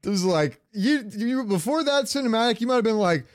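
A man's voice: very high-pitched, a little fast, dry recording, studio quality. A man hosting a podcast in casual fan chat, talking freely and profanely. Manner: profane, animated retelling with quoted lines. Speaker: podcast host.

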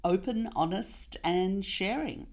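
A woman's voice: mid-range voice, takes it slowly, darkish tone. A woman hosting a casual interview, eagerly agreeing and asking pointed questions. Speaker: interview host.

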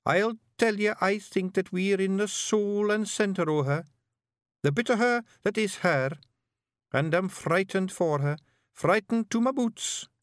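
A man's voice: sits high, unhurried, no room sound, clean studio sound, voice pushed up. A man voicing a very young, small fairy-folk bard, earnest, anxious and respectful, with a Scottish accent in a storybook. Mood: frightened. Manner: earnest, nervous, deferential.

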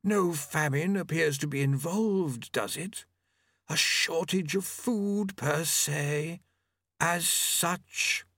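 An arrogant, languid horseman of the apocalypse, acted out by a male narrator. His voice sits high and drawls slowly. The manner is airy, fussy and superior, as in a storybook. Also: pushed and bright, no room sound, studio quality, exaggerated voice. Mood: disdainful, airy.

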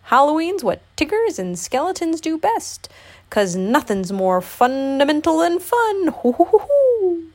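Woman voicing a bouncy, high-pitched boisterous cartoon tiger. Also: very animated, measured pace, exaggerated voice. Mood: exuberant. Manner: hooting, exclamatory, folksy mangled words.

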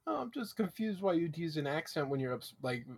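A man guest on a podcast, chatting casually with a husky morning voice, giggly and self-deprecating. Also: a little high, sing-song, brisk.

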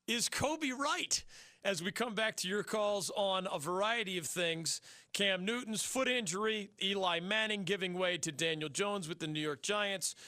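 Man, high bright voice, sports talk host, emphatic, dry studio. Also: no echo. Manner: fast, emphatic, opinionated, rising to rhetorical peaks. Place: radio studio. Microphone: studio mic.

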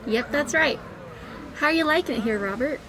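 A woman plays an adoring mother in a cult for a radio drama. She speaks in a fairly high, earnest voice full of reverence. Light noise sits behind the recording. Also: animated, brisk. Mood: proud, devoted. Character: devoted adult female cult member and mother, adoring. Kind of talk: radio play acting. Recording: faint background noise.

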